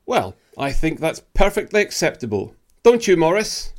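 A man's voice: a little high, big pitch swings, normal speed. A man playing a stern, impatient senior British army officer in a radio play, brusque and scornful. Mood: curt, commanding.

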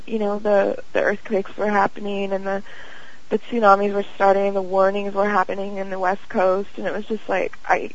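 Young woman, interview guest, dreamy halting talk, lots of fillers, muffled lo-fi audio. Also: mid-range voice, creaky. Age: young adult. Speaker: guest, singer and actress. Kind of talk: casual interview chat. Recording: dull lo-fi audio.

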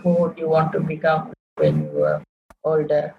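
A podcast host asking plain, hesitant questions with fillers. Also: male voice, a little high, big pitch swings, normal speed. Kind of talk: interview questions. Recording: dull lo-fi with clear background noise.

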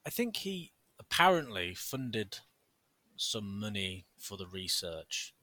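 A man's voice: fairly low pitch, sing-song, slow, pushed and bright, dry recording. A man co-hosting a podcast, theatrical, jokey and sarcastic in casual chat. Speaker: podcast co-host.

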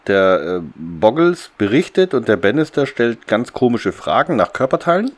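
A man's voice: mid-range voice, very animated, normal speed. A man hosting a podcast in casual discussion, thinking aloud with some hesitation. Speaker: podcast host.

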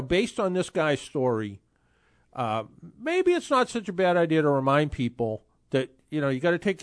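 A man's voice: high-pitched, no room sound, studio quality. A man in his sixties hosting a car talk radio show, chatting casually and rambling with frequent restarts. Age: 60s.

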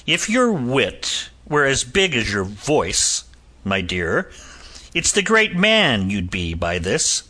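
A man's voice: medium pitch, unhurried, full character voice. Man doing a cool, dry-witted doctor. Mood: composed. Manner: calm, dry, cutting wit. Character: cool, sardonic Irish physician and ex-soldier, adult man.

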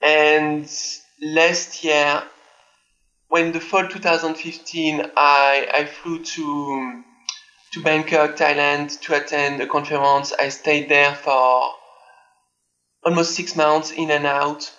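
A man answers interview questions plainly and matter-of-factly. His voice is fairly high and bright but flat, with little rise and fall. He comes through a dull, lo-fi remote line. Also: slow pace. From France. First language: French. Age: 30s. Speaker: tax accountant guest.

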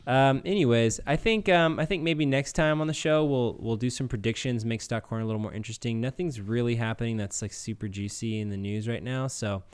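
A man co-hosting a casual, joking gaming podcast, talking in a clear, smooth voice. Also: mid-range voice, fairly quick, hardly any pauses. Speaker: podcast co-host reading a stock segment. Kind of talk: casual joking group chat about games.

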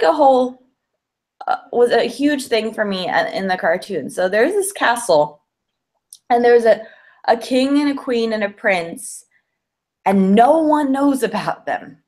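A woman co-hosting a podcast, chatting casually and enthusiastically about films, peppering her sentences with "like". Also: fairly high pitch. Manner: enthusiastic, informal, heavy use of "like". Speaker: podcast co-host.

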